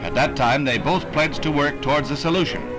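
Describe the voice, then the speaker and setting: High-pitched American newsreel announcer, music behind. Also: male voice, a little fast. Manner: formal, dramatic announcer delivery. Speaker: newsreel narrator. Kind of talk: scripted 1960s newsreel narration. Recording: vintage newsreel soundtrack with music.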